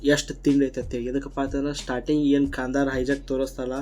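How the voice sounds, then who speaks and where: A man's voice: medium pitch, level tone, quick pace, darkish tone, soft background music. A man with a North Karnataka accent giving blunt, combative, colloquial reaction commentary. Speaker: YouTube commentator.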